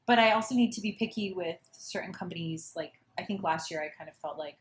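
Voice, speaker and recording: A woman's voice: on the low side, fast talker, a crowd in the background. A young adult woman, a classically trained opera singer, chatting casually and often stopping mid-sentence to restart. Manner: chatty, self-interrupting, laughs often. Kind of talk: casual chat.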